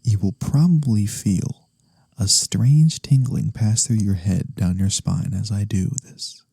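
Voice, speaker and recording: A man acts out a courteous incubus demon in an ASMR fantasy roleplay. The voice is low, very mellow and close, almost without room sound. He speaks slowly and formally, with a gentle teasing edge. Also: creaky, husky, no echo, heavily acted. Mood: calm, grave.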